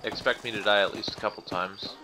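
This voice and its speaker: Man, lowish voice, casual let's-play commentary, informal, conversational. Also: fairly even intonation, a little fast, noisy background.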